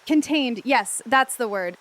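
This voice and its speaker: A woman playing a plucky amateur sleuth in an audio drama, with halting, wry banter and forced optimism. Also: a little high, expressive, a bit bright, poor audio. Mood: wry, uneasy. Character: plucky female amateur sleuth, jokey and reassuring in danger.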